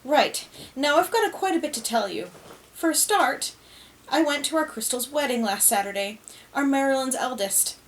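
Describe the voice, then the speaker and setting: A woman voicing a stammering ghost of a henpecked older husband in a storybook. He speaks high and loud, tripping over his words as years of frustration spill out. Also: lively intonation, moderate pace, far from the mic, slight background noise, low-quality recording. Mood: exasperated.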